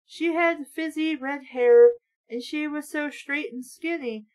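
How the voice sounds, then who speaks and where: High-pitched, blunt, sweary woman. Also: measured pace, a little mellow. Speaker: host of a book read-along. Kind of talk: book reading with ranting, sarcastic commentary.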